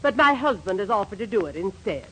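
A woman's voice: medium pitch, big pitch swings, fast, sharp tone. A woman playing a domineering middle-aged wife and mother in 1940s radio comedy, stern, formal and indignant. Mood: indignant, bossy. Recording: faintly noisy 1940s radio recording.

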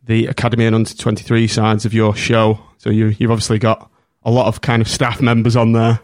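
A man's voice: lowish voice, monotone, brisk, dry recording, clean studio sound. A man hosting a casual podcast chat, friendly and rambling with many restarts. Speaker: podcast host.

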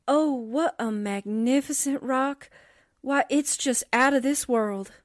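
A high, gushing newspaperwoman sidekick played by a woman from a forties radio play. She is sassy, excitable and dramatic, recorded dry and close. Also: slow, no echo. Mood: awestruck. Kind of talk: 1940s radio drama acting.

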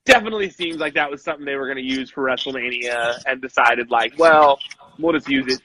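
Bright-voiced man chatting wrestling. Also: mid-range voice, quick pace, slight background noise, poor audio. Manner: animated, acts out quotes in voices. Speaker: podcast co-host. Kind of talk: casual wrestling chat.

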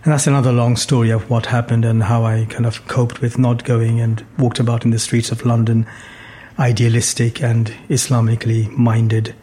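A man in his sixties, from Bangladesh, telling his life story as a podcast guest. His voice is dark and mellow, and his delivery stays flat and even. He speaks in a measured, thoughtful way, pausing on fillers and restarting sentences. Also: medium pitch. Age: 60s. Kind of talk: reflective life story in conversation.

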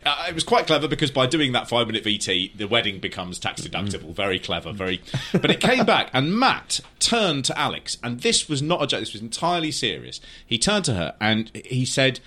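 A man's voice: mid-range voice, sing-song, fairly quick, hardly any pauses, pushed and bright. A man co-hosting a podcast, telling stories in a dry, sardonic way in casual chat. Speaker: podcast co-host.

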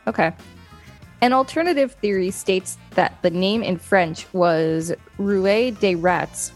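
A female podcast co-host with a fairly low voice reads reference text aloud. She adds casual commentary as she goes. Faint music sits in the recording. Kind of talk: reading an encyclopedia page aloud with casual commentary.

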